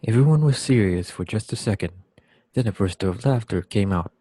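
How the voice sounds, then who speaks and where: Low-voiced young male narrator, dry sound. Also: lively intonation, normal speed, dark tone, no echo. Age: young adult. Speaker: student narrator. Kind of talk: scripted narration, reader's theater.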